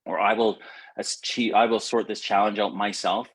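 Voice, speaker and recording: A man's voice: lowish voice, level tone, normal speed, breathy edge. A middle-aged man hosting a casual, reflective conversation, rambling and circling back to rephrase as he goes. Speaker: podcast host.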